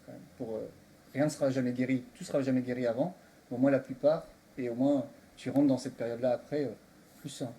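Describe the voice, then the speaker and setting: Mellow man in his mid-thirties. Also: mid-range voice, steady tone, fairly quick. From Belgium. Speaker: guest. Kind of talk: casual personal discussion. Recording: faintly noisy room recording.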